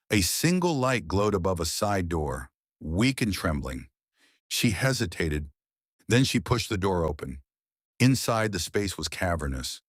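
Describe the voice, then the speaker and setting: Deep-voiced man narrating noir. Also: dry recording, studio quality. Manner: measured, brooding storytelling. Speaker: audiobook narrator. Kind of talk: scripted audiobook narration.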